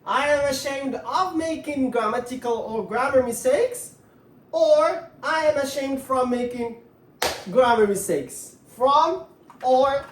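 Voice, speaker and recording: A woman's voice: high-pitched, takes it slowly, a little hiss or hum. A woman teaching an English grammar lesson, repeating herself and putting questions to viewers, saying words one at a time. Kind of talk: grammar lesson to viewers, writing as she explains. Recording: echoey room, distant mic.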